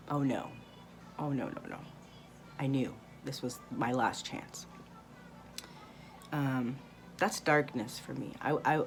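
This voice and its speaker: A woman in her late thirties talking candidly in a rambling spiritual vlog monologue, with many pauses and fillers and some swearing. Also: low-pitched. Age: 30s. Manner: rambling, candid, frequent ums and profanity. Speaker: spiritual vlogger.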